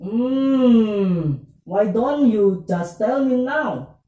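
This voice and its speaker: A performer voicing an earnest, hesitant young student puppet in a scripted puppet show, with halting, stilted phrasing. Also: medium pitch, lively intonation, dark tone. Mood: hesitant, eager. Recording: distant, echoey room, muffled.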